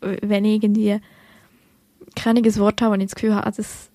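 A woman's voice: medium pitch, flat delivery, fairly quick, warm tone, dry recording. Young Swiss woman, Bernese accent, dry sound. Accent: Bernese Swiss German. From Switzerland. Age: young adult. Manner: chatty, many fillers and self-corrections. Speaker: podcast co-host. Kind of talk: casual literary podcast chat.

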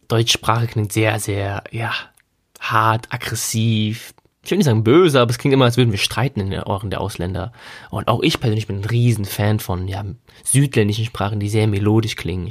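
A man from Germany, hosting a solo podcast in a casual, reflective, conversational way and stretching key words for emphasis. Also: fairly low pitch. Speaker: podcast host.

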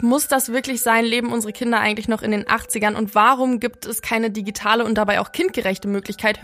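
A woman's voice: on the high side, a bit bright. A young woman talking casually as the co-host of a podcast. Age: young adult. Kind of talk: casual conversational podcast talk.

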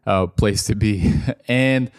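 A man in his thirties who grew up in Canada, a guest telling his story in a relaxed way and laughing often. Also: fairly low pitch, slow pace, dry recording, clean studio sound. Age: 30s. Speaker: guest, entrepreneur. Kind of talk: casual interview. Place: London.

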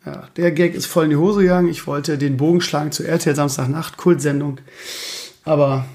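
A hoarse man with a gravelly, rough-edged voice that sits a little higher than most men's. He hosts his own podcast in loose casual chat, rambling and poking fun at himself as he grumbles. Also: fairly even intonation, a little fast, a little mellow. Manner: rambling, self-mocking, grumbling. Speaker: podcast host.

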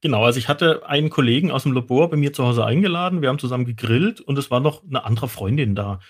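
A man speaks as a toxicologist guest on a show. He explains things casually and tells colloquial anecdotes, slipping into quotes. His mid-pitched voice is a little husky. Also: lively intonation, quick pace.

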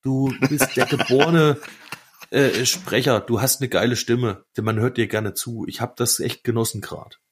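A man's voice: mid-range voice, fairly even intonation, unhurried, breathy edge. A man co-hosting a casual podcast, chatting colloquially and enthusiastically with friends. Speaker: podcast co-host. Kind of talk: casual chat among friends.

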